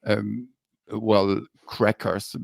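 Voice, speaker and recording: A man's voice: on the low side, level tone, drawn-out words, creaky, no echo, studio quality. A man with a Polish German accent co-hosting a podcast, talking casually with many ums and restarts. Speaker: podcast co-host. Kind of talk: casual discussion.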